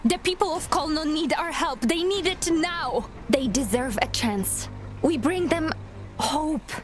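An actress playing an earnest, compassionate young resistance fighter in a video game, with a pleading manner. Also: female voice, high voice, a little slow, music in the background. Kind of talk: dramatic video game voice acting.

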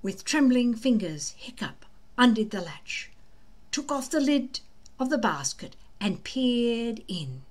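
An older woman reading a children's book aloud slowly and deliberately, with dramatic emphasis. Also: a little high, big pitch swings. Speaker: storybook reader. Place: small indoor room at home.

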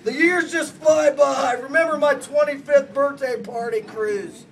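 A middle-aged man giving a rowdy comic wedding toast from cards, shouting and laughing through it, recorded on a distant phone in a crowded party room. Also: very high-pitched, unhurried, vocal fry, a little hiss or hum. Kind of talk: comic roast-style toast read from cards. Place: indoor party venue, crowded. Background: party crowd chatter and laughter.

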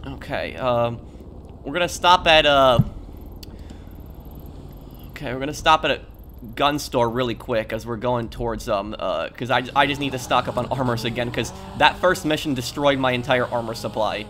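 A young man with a bright, forward voice commentates casually over a video game in a halting, hesitant way. His pitch sits in the middle, and faint game noise runs behind him. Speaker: gaming video host. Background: game ambience and vehicles.

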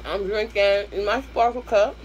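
A hoarse woman with a Southern US accent talks casually to her viewers between mouthfuls, calling them y'all and humming over her food. She sits at a table in a small room at home, close to the camera, with a faint hum of traffic outside. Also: mid-range voice, fairly even intonation, moderate pace, dull audio. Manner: chatty, addresses viewers as y'all, hums while eating. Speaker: mukbang host. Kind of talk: casual eating-show chat.

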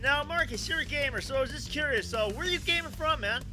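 A man's voice: extremely high pitch, quick pace, music in the background. A man hosting a casual gaming interview, rambling, repeating phrases and given to exclaiming. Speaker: podcast talk show host. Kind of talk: casual excitable interview chat.

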